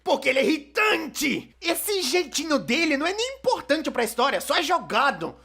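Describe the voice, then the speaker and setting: A man's voice: very high-pitched, very animated, moderate pace, vocal fry, bright, forceful tone, heavily acted. A man with a Brazilian accent dubbing a casual, wry young storyteller in scripted video commentary. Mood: wry, incredulous. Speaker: dubbed narrator of an animated opinion video.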